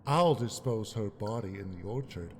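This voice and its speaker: A man's voice: fairly low pitch, expressive, moderate pace, close to an everyday voice. A man playing a laconic, obedient farm pony henchman in a fan audio drama, with terse delivery. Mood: obedient, grim. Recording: long reverb, background noise.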